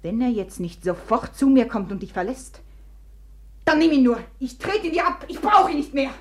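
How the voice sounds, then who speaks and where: A high-pitched, proud young woman of a classic radio drama. She is imperious and theatrical, tormented and close to hysteria. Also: sing-song, moderate pace. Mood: bitter, challenging. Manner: imperious, theatrical, swinging from commands to whispers. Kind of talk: German literary radio drama acting.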